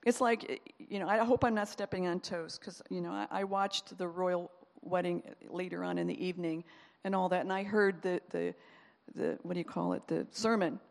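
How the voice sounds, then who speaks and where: A woman pastor giving a sermon, talking in an animated, conversational way and acting out quotes as she goes. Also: on the low side, normal speed, creaky, in a large hall.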